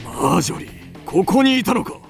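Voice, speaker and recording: Haughty, high-pitched cold nobleman. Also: big pitch swings, fairly quick, vocal fry, faint music behind, heavily acted. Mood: urgent. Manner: imperious, curt. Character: haughty, cold middle-aged male aristocrat. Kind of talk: Japanese radio drama acting.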